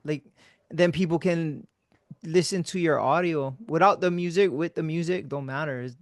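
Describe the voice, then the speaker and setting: A man with a slightly high voice talks easily with an old friend on his podcast. He is laid-back and casual, swears now and then, and often lets his sentences fade into quiet mumbles. The sound is close and dry, with no room echo. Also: normal speed. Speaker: podcast host.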